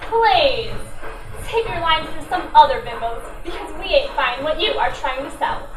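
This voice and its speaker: A woman's voice: high voice, lively intonation, unhurried, over music. A woman playing a bossy, sarcastic, suspicious gangster sister in an old-time radio drama. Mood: scornful. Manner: sarcastic, scornful, commanding. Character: sharp-tongued, bossy, suspicious brunette sister, secretly a gangster. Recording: roomy, distant mic with faint noise.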